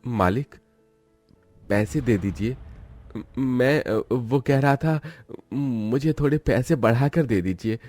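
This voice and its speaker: A man's voice: mid-range voice, slow, darkish tone, soft background music, pitched below the performer's own voice, lightly acted. A man voicing a timid, humble poor labourer with a hesitant, pleading manner.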